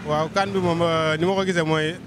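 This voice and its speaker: Senegalese crew member, measured, higher voice, faint noise. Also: male voice, level tone. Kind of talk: casual interview answers. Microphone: handheld mic.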